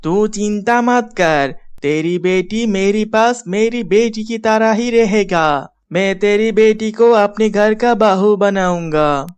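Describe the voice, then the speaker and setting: A man's voice: high-pitched, slow, hardly any pauses, clean tone. A man voicing a firm, kindly middle-aged father in a cartoon story, with a solemn manner. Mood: consoling.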